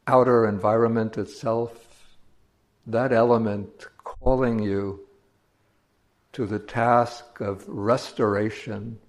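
A man teaching meditation, giving guided instructions in measured phrases with pauses between them. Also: fairly low pitch, darkish tone.

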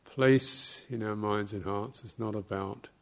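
Slow, creaky-voiced man guiding meditation. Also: lowish voice, steady tone. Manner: measured, halting, with long pauses between short phrases. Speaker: meditation teacher. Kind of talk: guided meditation instruction.

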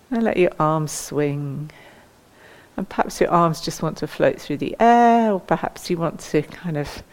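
Female meditation and movement teacher, low voice, gentle and unhurried guidance, leaves space between phrases. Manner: gentle, unhurried, inviting, with pauses. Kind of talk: guided mindful movement instruction.

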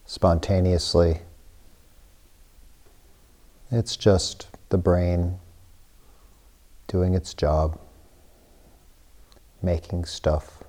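Deep, mellow male meditation guide. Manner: hushed, sparse phrases with long pauses. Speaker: meditation teacher.

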